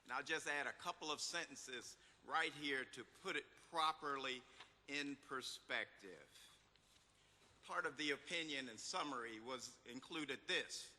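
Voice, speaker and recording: Mature male reader, very bright, sharp tone, formal public delivery, reverberant hall. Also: medium pitch, unhurried. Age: middle-aged or older. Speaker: reader at a legal commemoration event. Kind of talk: scripted case summary read aloud to an audience. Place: large hall at a public event.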